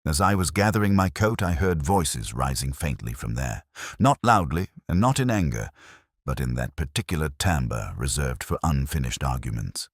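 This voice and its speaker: A man playing an ageing, weary police detective, narrating an audio drama in a measured, literary, wry and reflective way. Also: a bass voice, big pitch swings, husky, no room sound, clean studio sound, exaggerated voice.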